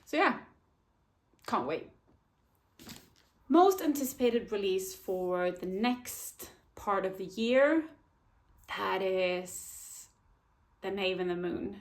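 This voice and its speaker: A woman's voice: mid-range voice, expressive, slow, long pauses. A woman chatting casually to camera about her reading year, often hesitating and restarting her sentences. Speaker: book vlogger. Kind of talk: casual book talk to camera.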